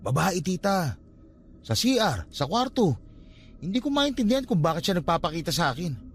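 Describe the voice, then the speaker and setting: An actor playing a troubled young man in a radio drama, blunt but softening. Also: sits high, very animated, normal speed, slightly rough, full character voice. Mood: troubled, confused.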